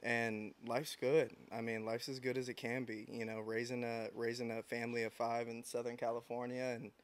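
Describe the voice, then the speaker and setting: A man in his thirties from San Diego, a podcast guest talking in a reflective, unhurried way with frequent restarts. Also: medium pitch, little pitch movement. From San Diego, United States. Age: 30s. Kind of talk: casual interview chat.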